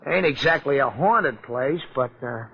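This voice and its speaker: An actor playing a chatty, folksy police sidekick who wisecracks in slangy 1940s radio style. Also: male voice, medium pitch, lively intonation, a little slow, vocal fry, slightly rough, full character voice. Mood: joking, chatty. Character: chatty, comic male police sidekick, folksy know-it-all. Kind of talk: 1940s radio comic relief. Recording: muffled old radio recording, faint noise.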